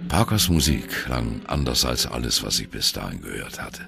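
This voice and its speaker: An actor playing an ageing jazz musician who wryly and dramatically recalls his youth in a radio play monologue, with deliberate, colloquial phrasing and a gravelly voice. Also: rumbling low, big pitch swings, loud music behind the voice, exaggerated voice. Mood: wistful, earnest. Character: ageing male jazz musician, wry, reminiscing on postwar youth.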